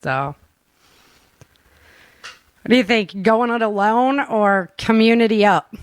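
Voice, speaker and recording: A woman hosting a casual chat show in an informal, animated, laughter-prone manner. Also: a little high, slow, clean tone, dry recording. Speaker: podcast host.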